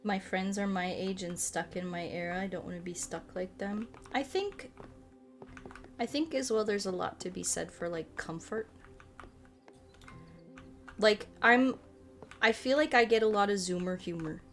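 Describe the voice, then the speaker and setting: A woman in her late twenties chatting informally as a livestream host, rambling and animated, with loose, sweary language. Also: medium pitch, a little slow, pauses often, faint music behind. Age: late 20s. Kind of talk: casual chat while playing a game, reading and answering chat.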